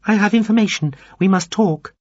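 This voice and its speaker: A man's voice: high voice, moderate pace, husky, no room sound, dull audio, voice pushed up. A man voicing a small, formal alien officer who speaks in stiff, bureaucratic phrases in an audiobook. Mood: insistent. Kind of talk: audiobook character voice.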